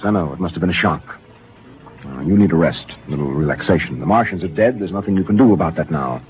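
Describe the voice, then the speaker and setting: An actor playing a pragmatic ship captain who speaks calmly and with authority, in a 1950s radio drama. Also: male voice, deep voice, fast, faint music behind. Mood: soothing. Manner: calm, soothing, authoritative. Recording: narrow-band vintage radio broadcast.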